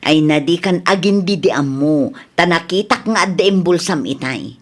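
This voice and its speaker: A woman's voice: on the low side, lively intonation, normal speed. A woman playing a stern, suspicious female authority figure with an Ilocano Filipino accent, questioning bluntly in a radio drama. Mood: stern, accusing.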